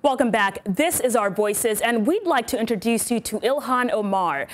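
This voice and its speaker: A woman co-hosting a panel talk show, speaking quickly and emphatically. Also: on the high side, animated, faint music behind. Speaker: co-host. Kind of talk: panel discussion.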